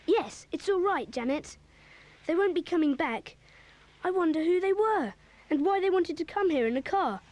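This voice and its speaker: A woman's voice: extremely high pitch, animated, moderate pace, a little mellow. A woman voicing an earnest, take-charge schoolboy who leads a children's club in an audio drama. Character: sensible bossy schoolboy, leader of a children's club.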